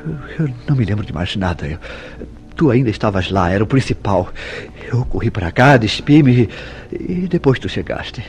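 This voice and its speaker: A jealous, remorseful Brazilian man in a radio melodrama. His voice sits fairly low, his speech is formal and haltingly anguished, and music plays faintly behind him. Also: lively intonation, a little mellow. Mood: anguished, confessional. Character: guilt-ridden, jealous husband-lover who confessed to murder. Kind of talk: melodramatic radio soap acting.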